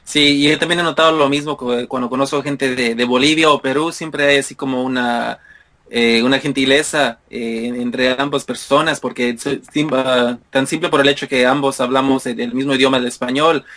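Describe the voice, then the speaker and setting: A man's voice: medium pitch, fairly even intonation, moderate pace, fairly bright tone. Chatty Mexican man interviewing. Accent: Mexican Spanish. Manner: friendly, rambling questions with fillers. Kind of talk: casual conversation.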